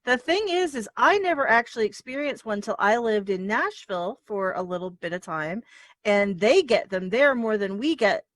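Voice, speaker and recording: A woman's voice: mid-range voice, expressive, moderate pace, no echo. A woman hosting an interview show, bubbly and effusive, laughing often. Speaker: podcast host. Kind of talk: casual interview chat.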